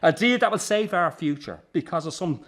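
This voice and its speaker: Actor as a driven Irish son, high-pitched and expressive. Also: male voice, brisk. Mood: frustrated, forceful. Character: driven, frustrated son running the struggling family circus. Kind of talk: naturalistic radio drama acting.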